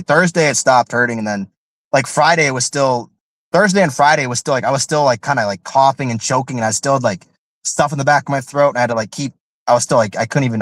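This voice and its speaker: A man on a podcast talks in long, meandering runs, packed with filler words and restarts. His voice has a faint nasal tint from a recent illness, and his pitch sits in a middle range. The sound is dry and close, with no room echo. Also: a bit bright. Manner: rambling, heavy on filler "like". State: recovering from illness, slightly nasal. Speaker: returning co-host. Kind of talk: casual podcast chat.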